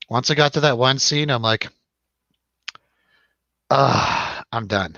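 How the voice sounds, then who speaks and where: A man hosts a loose film chat at an unhurried pace. He jokes, hesitates and lets sentences trail off. The sound is dry and a little dull. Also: mid-range voice, vocal fry, pushed and bright, no room sound. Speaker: host of a film review show.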